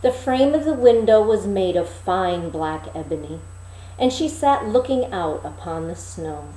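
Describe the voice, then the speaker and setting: A woman's voice: mid-range voice, lively intonation, a little slow, warm tone. A woman reading a fairy tale aloud expressively as a storyteller. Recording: echoey room.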